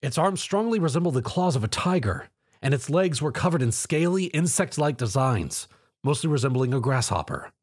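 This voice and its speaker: Man, story narrator, scripted delivery, varied intonation, a little husky. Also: mid-range voice, animated, moderate pace.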